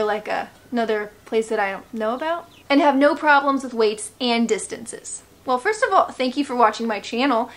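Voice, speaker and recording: An American woman, around her thirties, giving casual reaction commentary as a vlog host with playful sarcasm and animated asides. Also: fairly high pitch. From United States.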